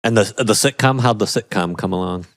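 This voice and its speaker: A man's voice: on the low side, fairly quick, no room sound, studio quality. A man hosting a podcast, easygoing, laughing along and asking short questions. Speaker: podcast host. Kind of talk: casual podcast chat.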